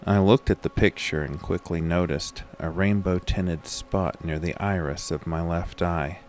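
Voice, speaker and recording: A groggy, sick man narrates his own horror story. His voice is very deep and slow, weary and measured, over faint music. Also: steady tone. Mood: grim narration. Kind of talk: first-person scripted horror narration with acted dialogue.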